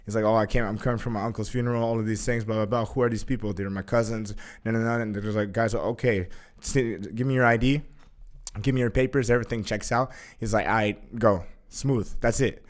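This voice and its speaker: A slightly low-voiced young man recounts a tense story in casual conversation. He talks animatedly, leans on "like" as a filler and swears freely. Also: steady tone, fairly quick. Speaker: guest.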